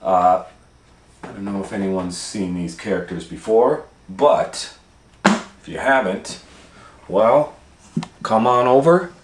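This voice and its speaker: A man hosting a storytime, reading a picture book aloud to children in an unhurried way with long pauses and hushed asides. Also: fairly low pitch, slight background noise. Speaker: storytime host and reader. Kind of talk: animated read-aloud for children. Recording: roomy, echoey room sound.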